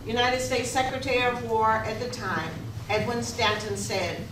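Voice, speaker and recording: A woman's voice: fairly high pitch, steady tone, moderate pace. A woman reading formal historical narration in a readers theater. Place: echoey room, live rehearsal. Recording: echoey, distant, noisy rehearsal recording.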